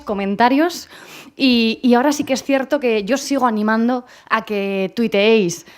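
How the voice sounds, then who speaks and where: A woman's voice: fairly high pitch, darkish tone. Encouraging woman moderating a session. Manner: friendly, encouraging. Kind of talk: conference moderation.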